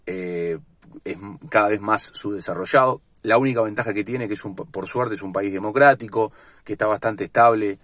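A man's voice: lowish voice, normal speed, fairly bright tone, no room sound. A man in his forties with a Uruguayan Spanish accent, ranting bluntly about football from inside a car, with many fillers and restarts. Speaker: sports vlogger. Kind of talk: opinionated solo football commentary. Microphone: phone or webcam at arm's length.

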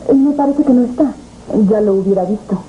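A young actress playing a proud, guarded fiancée in a 1970s telenovela, curt and hesitant. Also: female voice, fairly high pitch, fairly quick, lightly acted. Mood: guarded, piqued. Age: young adult. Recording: muffled, noisy old TV tape.

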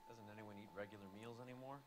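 An actor playing a grumbling, cynical police officer in a video game. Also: male voice, on the low side, fairly even intonation, rapid-fire, background noise, natural voice. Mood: wry, disgusted.

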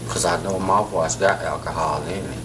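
A man in his thirties, a truck driver, talking slowly, with hesitation, and sounding defensive. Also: sits low, steady tone, noisy background. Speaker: truck driver stopped by police. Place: indoor truck garage and office.

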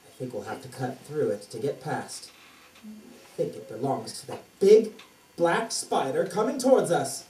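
Puppet-show prince played by a woman: brave, theatrical, lowish and soft-edged, recorded from afar on an echoey stage. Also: sing-song, a little slow. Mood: wry, determined. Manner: declamatory, theatrical. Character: brave, gallant fairy-tale prince, a knight with a sword. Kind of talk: puppet theatre fairy tale. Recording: distant echoey stage, faint noise.

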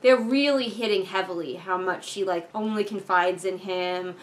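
A woman's voice: mid-range voice, normal speed. A young American woman giving casual book commentary in a rambling, bluntly opinionated way, talking as a vlogger in a living room. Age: young adult. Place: living room couch, indoors. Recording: background noise in a living room.

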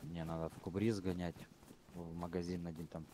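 Very low-voiced man, casual gamer chat, crude and rambling, light game noise. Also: flat delivery, normal speed, mellow. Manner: blunt, swears freely, rambling.

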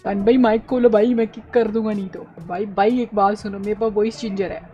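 A woman's voice: mid-range voice, level tone, brisk, warm tone, lo-fi sound. A woman game streamer in casual, teasing voice chat with her squad. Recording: game stream under background music. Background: game music.

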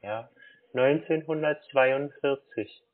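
Slow, dithering man on a dull line. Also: mid-range voice. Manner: dithering, halting, repeats himself. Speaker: scam baiter playing a gullible customer. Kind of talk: phone call, slow put-on pensioner act. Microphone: phone call. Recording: dull band-limited phone line.

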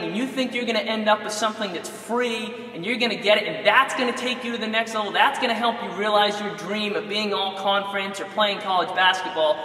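Bright-voiced young coach in echoey gym. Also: male voice, high-pitched, recorded from a distance. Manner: urgent, emphatic, direct address. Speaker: basketball coach. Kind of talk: sales pitch to camera. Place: large indoor gym. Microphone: lapel mic.

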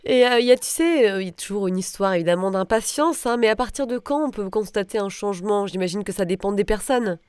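A woman's voice: medium pitch, quick pace, hardly any pauses, darkish tone, clean tone, no echo. A young adult woman hosting a podcast in casual interview chat from a small indoor space. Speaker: podcast host.

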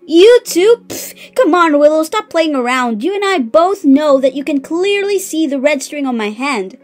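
A woman voicing an excitable, stubborn teenage girl who rambles earnestly in an amateur cartoon comic dub. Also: sits high, moderate pace, soft background music. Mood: playful disbelief.

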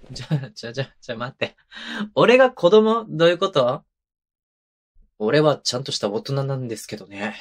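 A man acting as an affectionate young husband in an intimate audio drama, with a mid-pitched voice. He coaxes and teases in a casual, boyish, slightly hesitant way, clingy and childlike, close to a binaural microphone. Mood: whiny, pleading. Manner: coaxing, teasing, casual and hesitant. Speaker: voice actor. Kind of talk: intimate ASMR situation voice drama.

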